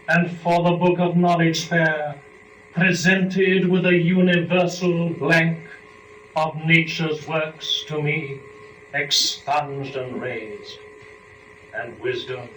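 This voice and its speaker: A man giving a dramatic recitation of classical epic verse in a solemn, measured, declamatory way. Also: mid-range voice, fairly bright tone. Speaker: dramatic reader of epic poetry. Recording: roomy, distant, faintly noisy recording.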